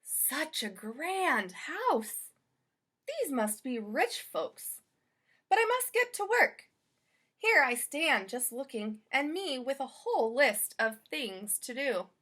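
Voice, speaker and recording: Woman playing a high, swooping, cheerful storybook housemaid. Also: big pitch swings, pushed and bright, slightly rough, exaggerated voice. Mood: delighted, eager. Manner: exclamatory, folksy, drawn-out emphasis. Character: cheerful, eager, literal-minded housemaid woman.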